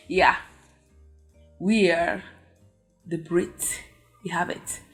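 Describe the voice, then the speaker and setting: A Kenyan woman vlogger telling a story to her viewers in a lively, chatty way, with frequent exclamations. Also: lowish voice, very slow, faint music behind.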